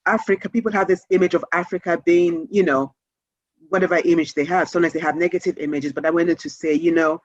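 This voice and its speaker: A woman's voice: lowish voice, fairly even intonation, fast, no room sound, dull audio. A woman from Nigeria, answering interview questions conversationally as an author.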